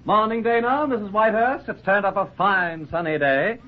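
An actor playing a smug, officious small-town fiancé, pompous and hearty, in 1940s radio drama. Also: male voice, high voice, moderate pace, lightly acted. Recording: muffled, narrow-band 1940s radio recording.

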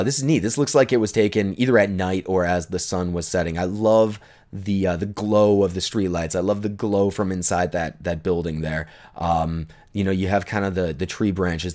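A man in his thirties casually talking through viewer photos at home, relaxed and enthusiastic, with lots of fillers. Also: low-pitched. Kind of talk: casual chat. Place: bright living room at home. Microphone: desk condenser mic in frame.

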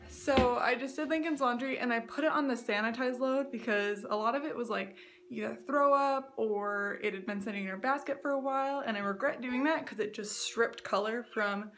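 Young woman, casual home vlog, phone at arm's length, a bit high-pitched, faint background hiss. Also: normal speed. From US West Coast. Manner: chatty, lots of "like", hushed to avoid waking a sleeping baby. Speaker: family vlogger. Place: small home rooms indoors. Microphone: phone camera at arm's length.

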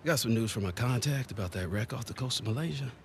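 An actor playing a middle-aged American salvage boss in a video game, genial, casual and persistently coaxing. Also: male voice, medium pitch, expressive, fast talker, gravelly, slight background noise. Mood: eager, persuasive. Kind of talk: naturalistic video game acting. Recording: game dialogue over faint outdoor ambience.

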